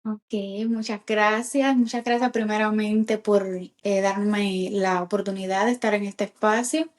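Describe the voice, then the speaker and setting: A Cuban woman in her thirties with a Cuban accent, a podcast guest telling her life story in a halting way, with many fillers. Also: mid-range voice, fairly even intonation. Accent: Cuban Spanish. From Cuba. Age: 30s. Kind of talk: personal testimony in casual chat.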